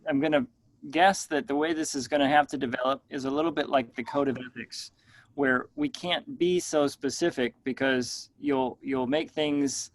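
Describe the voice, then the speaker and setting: A man moderating a professional discussion over a video call, measured and thoughtful, asking the group open questions. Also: medium pitch, fairly even intonation, no echo.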